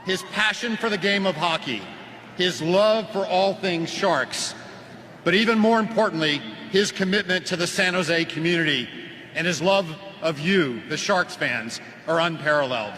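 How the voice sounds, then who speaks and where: A man's voice: sits high, moderate pace, pushed and bright. A man giving a prepared tribute speech at a ceremony as a team president, over the PA in a large indoor arena. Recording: noisy arena PA, long reverb.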